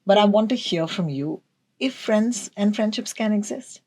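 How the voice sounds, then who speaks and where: A woman hosts a radio interview at a middle pitch. She asks long, open questions and keeps up a stream of quick agreements while her guest speaks. Her delivery is attentive and conversational. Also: animated, darkish tone. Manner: affirming, frequent "yeah" and "of course" backchannels. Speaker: radio show host, interviewer.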